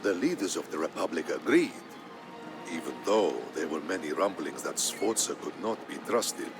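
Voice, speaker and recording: A man narrating a historical tale in a scripted, dramatic style, solemn and measured. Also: deep voice, animated. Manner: solemn, measured storytelling delivery. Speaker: narrator of a strategy game campaign story. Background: background music.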